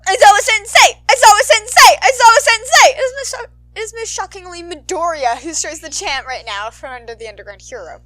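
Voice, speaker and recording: Amateur female narrator for fan fiction, bright high voice, informal and expressive delivery. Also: low-quality recording. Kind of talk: animated fan fiction narration, casual asides.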